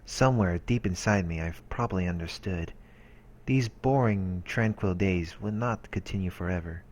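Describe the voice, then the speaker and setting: A man with a low voice reads visual novel narration aloud as a let's player, dropping in casual asides. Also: moderate pace, darkish tone, slight background noise. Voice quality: low-pitched. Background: game music.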